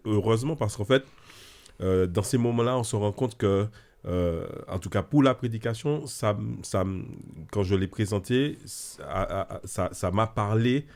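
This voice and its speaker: A man in his thirties from French Guiana, a theology student guest who speaks haltingly with many repetitions. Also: fairly low pitch, darkish tone.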